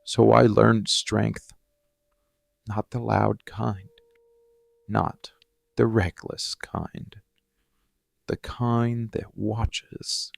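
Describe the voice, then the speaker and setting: A man playing a cold, embittered dark-fantasy villain in an ASMR monologue, speaking deliberately with heavy pauses. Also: on the low side, little pitch movement, gravelly, no echo, clean studio sound, heavily acted. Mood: grave, steely.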